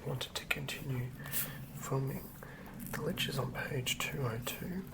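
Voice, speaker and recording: An ASMR host speaking in a soft, breathy whisper, gently and quietly sharing tabletop fantasy material. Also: mid-range voice, measured pace, very noisy. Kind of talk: whispered ASMR, chatting and reading aloud from a game manual.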